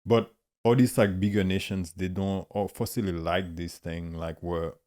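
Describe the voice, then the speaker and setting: Very deep-voiced man. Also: steady tone, dark tone. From Haiti. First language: Haitian Creole. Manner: halting, searching for words. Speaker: guest artist. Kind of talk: casual conversation.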